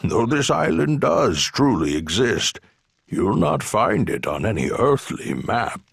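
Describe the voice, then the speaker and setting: An actor playing a gentle old amnesiac island hermit in a video game, with a husky, gravelly voice and a courteous, wistful manner. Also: male voice, medium pitch, sing-song, slow, no room sound, heavily acted. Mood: musing. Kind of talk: video game cutscene acting.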